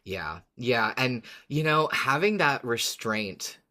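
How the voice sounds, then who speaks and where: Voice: medium pitch, animated, slow, bright, forceful tone. A podcast co-host chatting casually in an easygoing, agreeable way.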